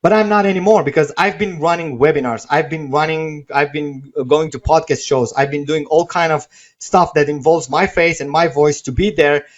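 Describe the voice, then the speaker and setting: A man who is not a native English speaker, hosting a live sales webinar with a persuasive, off-the-cuff pitch and frequent checking tags. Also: moderate pace. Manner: persuasive, repeats checking tags like okay and right. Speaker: webinar host pitching software. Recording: dull, lo-fi webinar audio.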